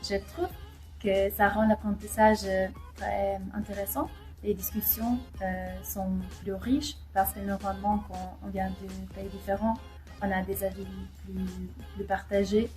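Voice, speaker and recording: A woman's voice: mid-range voice, level tone, measured pace, dark tone, soft background music. A woman, a language student, answering casually in a thoughtful, slightly hesitant way.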